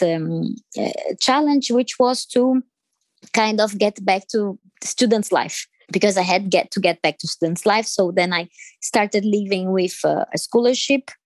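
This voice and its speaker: A woman from Brazil with a Brazilian Portuguese accent, answering interview questions in English with many pauses, fillers and restarts. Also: mid-range voice, darkish tone, no echo. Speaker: interview guest.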